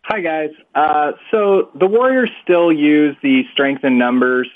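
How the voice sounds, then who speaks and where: A man's voice: on the high side, slow, no room sound. Male reporter on a narrow phone line. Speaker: newspaper reporter. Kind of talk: conversational press question. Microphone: telephone. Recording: dry conference phone line.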